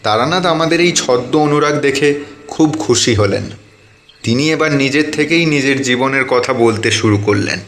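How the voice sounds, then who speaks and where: A man's voice: mid-range voice, moderate pace, fairly bright tone, a little hiss or hum, lightly acted. A man playing a composed listener who narrates in a scripted audio story style.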